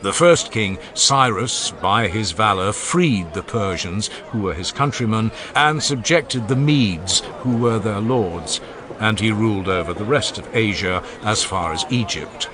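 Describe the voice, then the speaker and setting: Male audiobook narrator, lower register, animated oratorical delivery for a solemn classical speech. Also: measured pace, crowd noise. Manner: solemn, declaiming, oratorical phrasing. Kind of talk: dramatized audiobook reading of a classical oration.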